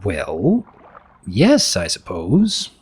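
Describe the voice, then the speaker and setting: A man's voice: fairly low pitch, huge pitch swings, drawn-out words, darkish tone, slightly rough, exaggerated voice. A man voicing a young pony baron in a storybook, earnest, well-mannered and slightly awkward. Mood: awkward, distracted.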